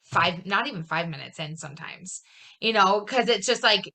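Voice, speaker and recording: A woman's voice: medium pitch, lively intonation, moderate pace, gravelly, sharp tone. A woman in casual conversation, explaining things in an informal, rambling way. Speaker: podcast host. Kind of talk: casual chat.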